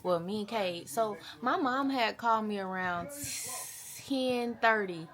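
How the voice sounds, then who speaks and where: A woman in her twenties chatting casually to camera as a vlogger, with a playful, animated and slangy way of talking. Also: medium pitch, a little slow. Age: 20s. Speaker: vlogger, mother.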